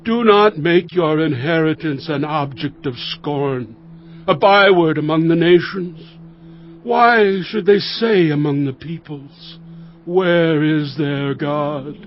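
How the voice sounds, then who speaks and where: A reverent, anguished priest, pleads very slowly in a scripture drama. The recording is a little dull, with soft music behind the imploring voice. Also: male voice, a little high. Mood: anguished pleading. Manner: slow, imploring delivery. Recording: dull lo-fi audio. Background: faint background music.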